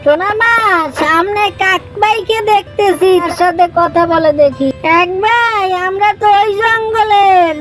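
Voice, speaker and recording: Someone doing a gentle worried mama bird in a cartoon, super high voice, pleading, bit of music. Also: unhurried. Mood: reassuring.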